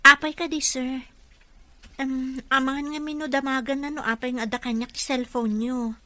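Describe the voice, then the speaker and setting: A high, bright female voice for a deferential nurse in an Ilocano radio drama. She is polite and hesitant, with an Ilocano accent, in a very dry studio sound. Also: level tone, moderate pace, no echo.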